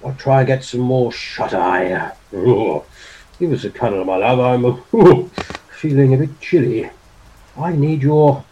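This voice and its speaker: Blustering posh English colonel. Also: male voice, medium pitch, dark tone, poor audio. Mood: sleepy, affectionate. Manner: blustering, stammering, chuckling old-fashioned upper-class turns of phrase. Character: posh, bumbling, jolly old-school British army colonel. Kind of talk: comic audio drama acting.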